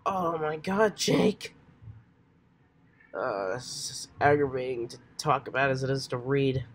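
A woman's voice: rumbling low, measured pace. A woman getting over a cold, speaking casually to her channel's audience between takes. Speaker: voice actor and channel creator. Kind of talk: casual announcement and off-script asides. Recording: clear background noise.

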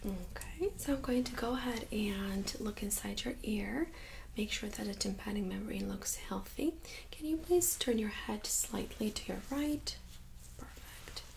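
Hushed, creaky-voiced woman doing ASMR. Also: mid-range voice, measured pace, slight background noise. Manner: hushed, often whispering, gently instructing. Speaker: ASMR performer playing a nurse examiner. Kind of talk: soft-spoken ASMR medical role-play. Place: small indoor room set up as exam room.

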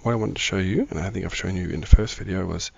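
A middle-aged man with a croaky voice, giving casual, easygoing gaming commentary as the host of a video. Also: sits low, fast talker, no room sound. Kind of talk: casual Minecraft let's-play commentary.

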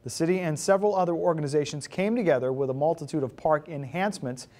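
A man's voice: on the high side, fast talker, a little mellow. An American man in his thirties reading scripted news as a TV anchor. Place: TV news studio. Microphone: lapel mic.

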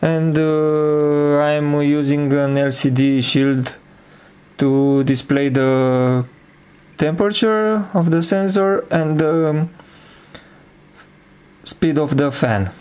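A man giving an informal hands-on demonstration as presenter of an electronics project, with hesitant, halting phrasing. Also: a little high, fairly even intonation, darkish tone, smooth.

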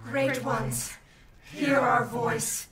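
A woman's voice: fairly high pitch, steady tone, extremely slow. An actress playing a devout elderly village woman who leads a solemn chanted prayer, in a video game. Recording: roomy distant voice over crowd.

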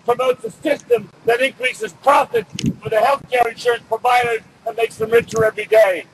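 Guy speechifying outside at a protest, really high raised voice, bit of street noise. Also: big pitch swings, fairly quick, gravelly, low-quality recording. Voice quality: loud, projected voice. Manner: emphatic, declamatory, reading from notes. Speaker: rally speaker. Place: outdoors on city building steps in winter. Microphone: camera a few feet away. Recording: outdoor street noise, no microphone.

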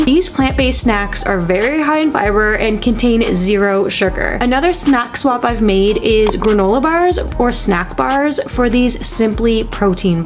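A woman giving an upbeat, informative scripted voice-over as a fitness vlogger. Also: a little high. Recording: voice-over with clear background music.

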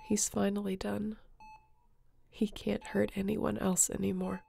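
A woman playing an earnest, emotional space captain in a sci-fi audio roleplay, tender and halting. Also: mid-range voice, little pitch movement, gravelly, mellow. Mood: tearful, pleading. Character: woman space captain, tender, guilt-ridden, devoted to her crewmate.

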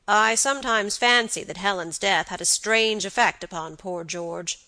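A woman's voice: medium pitch, normal speed, sharp tone, no room sound. A woman voicing a peevish, self-pitying old grandfather in a storybook, plaintive and apologetic. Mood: grave. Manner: plaintive, murmuring, repeats himself.

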